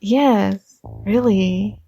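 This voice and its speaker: A young woman playing a shy, warm college girl with a secret crush, speaking softly and hesitantly in an intimate ASMR roleplay. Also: mid-range voice, drawn-out words, vocal fry, dull audio, a little hiss or hum. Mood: gentle, curious.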